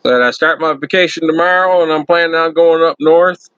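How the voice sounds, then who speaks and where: A man hosting his own channel, chatting loudly and casually to viewers on a first live stream from his computer. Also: a little high, steady tone, brisk, dry recording, dull audio. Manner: loud friendly talker. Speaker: YouTube channel host.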